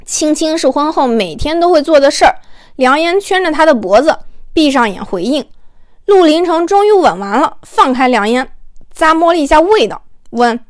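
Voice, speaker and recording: A woman narrating an audiobook in an expressive, conversational storytelling style. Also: high voice, no echo, studio quality.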